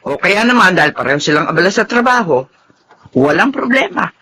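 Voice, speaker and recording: An actress playing a chatty, doting older mother-in-law excited to be a grandmother, in a Tagalog radio drama. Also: lowish voice, brisk, slight background noise, heavily acted.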